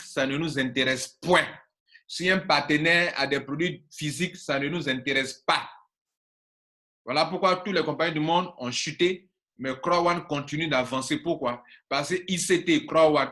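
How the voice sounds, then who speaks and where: A man's voice: a little high, pushed and bright. Emphatic Ivorian man coaching online. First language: Baoulé. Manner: emphatic, repetitive, asks rhetorical questions. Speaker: network marketing coach. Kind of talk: informal online training talk, reading and translating slides.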